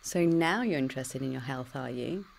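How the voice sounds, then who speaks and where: A woman's voice: very deep voice, lively intonation, normal speed, creaky, a little hiss or hum, full character voice. A woman with a British accent voicing a computer-generated future self, cheeky, teasing and always dodging the question. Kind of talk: pre-recorded game dialogue.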